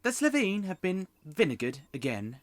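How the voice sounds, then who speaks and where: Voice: mid-range voice, very animated, moderate pace, natural voice. A performer playing a cheeky, sardonic teenage schoolboy in an audio drama, with a casual way of talking.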